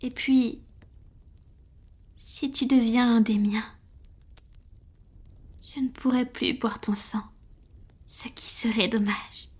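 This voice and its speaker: Casting: actress as devoted, gentle vampire lover for roleplay audio; very breathy, often whispered, slow and intimate delivery. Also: female voice, on the high side, steady tone. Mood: loving, anxious. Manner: slow, gentle, affectionate, with pauses. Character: tender, devoted female vampire in love with a mortal. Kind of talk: French roleplay audio, soft intimate ASMR acting.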